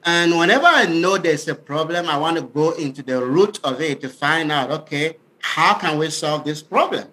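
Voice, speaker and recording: Male interview guest from Cameroon with that accent, bright and crisp-edged, instructive and sincere. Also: fairly high pitch, animated, moderate pace, hardly any pauses. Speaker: podcast guest, business author. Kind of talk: explanatory interview talk.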